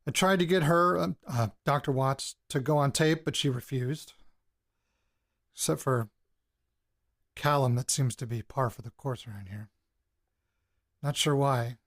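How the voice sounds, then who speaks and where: An actor playing a jittery, bitter young man in an audio drama, speaking in a halting, sardonic, conversational way. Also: on the high side, no room sound, clean studio sound, natural voice. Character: jittery, bitter young man investigating his grandparents' past. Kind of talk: audio drama, naturalistic spoken recorded diary.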